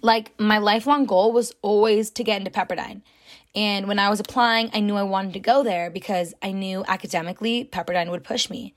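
A young woman with a raspy, somewhat deep voice hosting her own podcast, talking loudly and rambling with lots of fillers. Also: steady tone, brisk. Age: young adult. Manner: chatty, loud, rambling with many fillers. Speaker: podcast host. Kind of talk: casual solo podcast talk.

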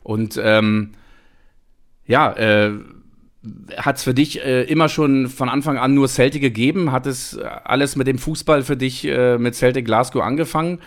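A man's voice: medium pitch, unhurried. A man hosting a podcast, talking casually and at length with his guest, with many small hesitations. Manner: chatty, many fillers and restarts. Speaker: podcast host. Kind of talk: casual chat.

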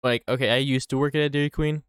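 A man's voice: mid-range voice, fairly even intonation, quick pace, clean tone, no room sound, clean studio sound. A young man co-hosting a casual podcast chat, who stutters often and laughs a lot. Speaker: podcast co-host.

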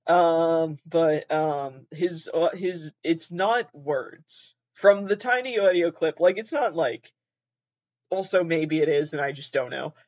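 A man's voice: fairly high pitch, slow, dry recording, lo-fi sound. A man hosting a podcast in a very nasal voice, rambling casually, swearing freely and laughing at himself. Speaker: podcast host.

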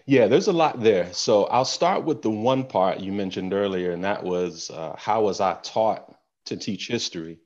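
A man who is a guest teacher on a podcast, explaining his experience in a measured way. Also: lowish voice, a little mellow. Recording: dull lo-fi sound.

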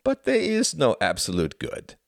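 A man's voice: medium pitch, huge pitch swings, normal speed, creaky, no room sound, clean studio sound, pitched above the performer's own voice. A man voicing a proud, scornful young nationalist academic from Germany in an audiobook, with a declamatory, insistent delivery.

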